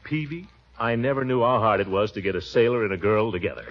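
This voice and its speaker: An actor playing a pompous, blustering middle-aged uncle in a 1940s radio comedy, exasperated and loud. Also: male voice, expressive, fast. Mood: grumbling. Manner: blustery, exasperated, swooping pitch. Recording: dull old radio broadcast with hiss.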